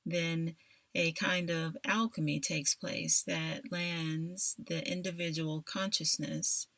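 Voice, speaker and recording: A woman guides a meditation in a low, gravelly voice with plenty of fry. She speaks very slowly and deliberately, soothing the listener and pausing often between scripted phrases. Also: a bit bright, no echo. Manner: soothing, deliberate, with long pauses.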